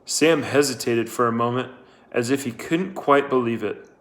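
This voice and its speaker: A man reading a story aloud as a scripted narration, measured and deliberate. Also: mid-range voice, fairly bright tone. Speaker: audiobook reader.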